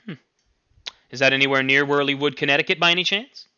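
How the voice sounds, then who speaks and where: A man's voice: fairly high pitch, fast talker, sharp tone, clear, resonant tone, highs cut off. A man voicing a whimsical, teasing young man in a story, with playful mock-serious emphasis.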